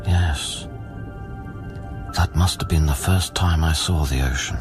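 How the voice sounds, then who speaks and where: A deep-voiced man, a troubled scientist in a radio play about space. He is halting and brooding, as if thinking aloud, with music clearly playing behind him. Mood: reflective. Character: troubled male scientist, haunted, newly arrived on space station. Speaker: actor narrating and playing the lead. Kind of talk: intimate dramatic monologue in audio drama.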